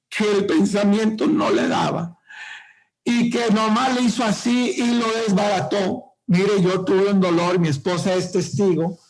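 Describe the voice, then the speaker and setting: A man preaching a sermon to a congregation, with impassioned, drawn-out emphasis. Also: sits high, vocal fry, darkish tone, poor audio. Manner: speaks directly to the congregation.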